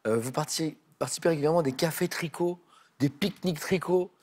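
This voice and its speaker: A man hosts a televised quiz from a studio, and his husky voice is a little hoarse today. He chats and teases his contestants with many fillers and restarts. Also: on the high side, fast talker, darkish tone. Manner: chatty, teasing, with frequent fillers and restarts. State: voice a bit hoarse. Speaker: game show host. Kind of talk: friendly candidate introductions.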